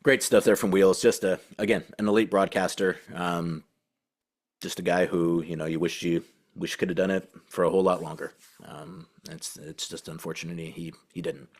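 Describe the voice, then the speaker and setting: A man with a low-pitched voice talks as a sports podcast host. His delivery is casual and reflective, rambling along with halting phrases and many verbal fillers. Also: fairly even intonation.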